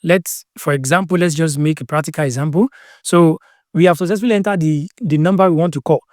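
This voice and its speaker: Guy doing a step by step how-to, kinda high voice, really dry sound, says stuff twice. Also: fairly quick, darkish tone, dry recording. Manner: repeats phrases and restates instructions. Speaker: tutorial presenter. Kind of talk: step-by-step tutorial narration, unscripted.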